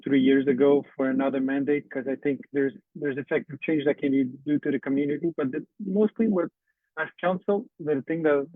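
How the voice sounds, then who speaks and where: A man whose first language is Portuguese speaks English on a panel, halting and searching for words. His voice sits fairly high and stays flat. The sound is thin and phone-like, with no room around it. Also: dry recording. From Canada. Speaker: panelist and council member. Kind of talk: panel talk at a conference. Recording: tinny phone-like line, dry.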